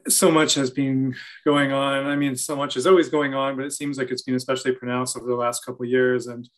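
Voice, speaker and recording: Measured young man hosting on a video call. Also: medium pitch, level tone. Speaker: gallery talk host. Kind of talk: moderating an interview. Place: room with bookshelves.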